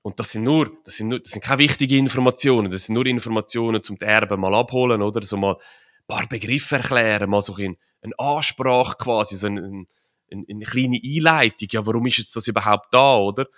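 Swiss German man, low voice, relaxed rambling guest explaining at length with lots of fillers. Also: a little fast. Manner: rambling, many fillers and tag questions. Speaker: podcast guest, author and crypto consultant. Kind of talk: casual conversational interview.

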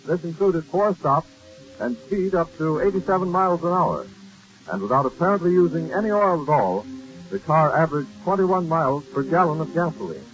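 A man's voice: a little high, lively intonation, normal speed. A man working as a radio announcer, reading scripted narration and sponsor messages in 1930s broadcast style. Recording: dull 1930s radio broadcast, faint music.

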